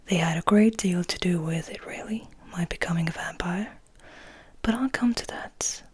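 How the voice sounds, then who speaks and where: A woman reading as a composed, wistful male vampire in a soft, confiding, breathy whisper for an ASMR audiobook. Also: lowish voice, moderate pace, no room sound. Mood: composed, reminiscing. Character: gentle, composed, wistful male vampire telling his story.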